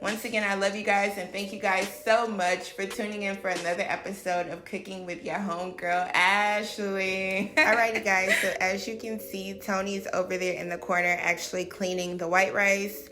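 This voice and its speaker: An upbeat woman talks through a home cooking video in a loose, chatty way, full of little fillers. Her voice sits mid-range and rings in a roomy space, with clear music playing underneath. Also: medium pitch, little pitch movement, moderate pace, pushed and bright. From United States. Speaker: cooking vlogger. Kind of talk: casual vlog narration. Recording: roomy echo with background music.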